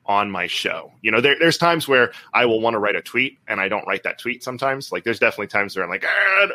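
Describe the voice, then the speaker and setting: A man's voice: fairly low pitch, very animated, fast talker, sharp tone. A man talking candidly in a casual podcast conversation as a host, acting out quotes as he tells anecdotes. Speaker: podcast host and school administrator.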